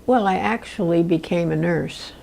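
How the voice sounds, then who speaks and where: A woman in her nineties, a retired nurse, giving halting, thoughtful interview answers. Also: on the low side, gravelly, darkish tone. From New Jersey. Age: 90s. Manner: halting, searching for words.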